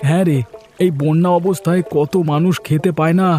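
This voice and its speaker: A man's voice: on the high side, steady tone, a little fast, mellow, faint music behind, close to an everyday voice. A man voicing an earnest, worried young village farmer in a cartoon. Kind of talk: cartoon voice acting.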